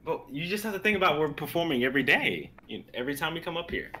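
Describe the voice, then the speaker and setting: A man's voice: fairly high pitch, very animated, fast, sharp tone, slight background noise, low-quality recording. A young man chatting casually and jokingly as a co-host of a group stream. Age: young adult. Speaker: co-host of an online readers' stream.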